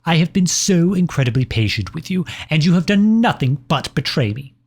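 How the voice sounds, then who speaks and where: A self-important imperial lover, acted out by a man for a comedic audio roleplay. The voice sits a little high for a male. Formal letters are delivered in a haughty, petulant way, as from a jilted ruler who expects obedience. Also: expressive, moderate pace, darkish tone, slightly rough. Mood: outraged, hurt. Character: Britain personified as a pompous, jilted imperial lover.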